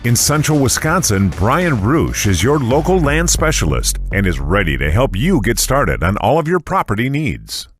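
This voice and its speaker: A man's voice: medium pitch, huge pitch swings, normal speed, hardly any pauses. Man reading a polished radio ad. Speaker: ad announcer. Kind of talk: scripted radio advertisement. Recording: music bed behind.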